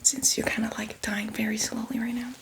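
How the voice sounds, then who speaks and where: A whispering female zombie, played by a woman in an ASMR roleplay, with a fairly high voice over clearly audible background noise. She rambles casually and reassuringly, fussy and apologetic yet eager for blood. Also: level tone, a little fast, natural voice. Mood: apologetic, soothing.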